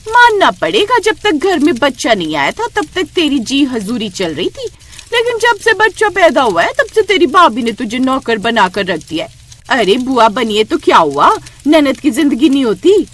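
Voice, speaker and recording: A woman's voice: high-pitched, sing-song, moderate pace, a bit bright, pitched above the performer's own voice, heavily acted. A woman voicing a nosy, meddling middle-aged neighbour in a cartoon story, insinuating and exclaiming. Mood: needling.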